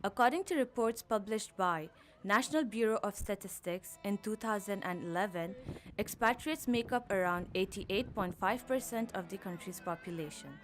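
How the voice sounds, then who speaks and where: A young woman reading a student news report as narrator, in an even, measured way. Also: medium pitch, soft background music. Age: young adult.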